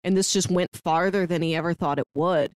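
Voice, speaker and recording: A woman's voice: on the low side, fairly even intonation, a little fast, darkish tone, no echo, studio quality. A woman co-hosting a casual film discussion, retelling scenes and slipping into bits of dialogue as she goes. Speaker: podcast co-host reviewing a film.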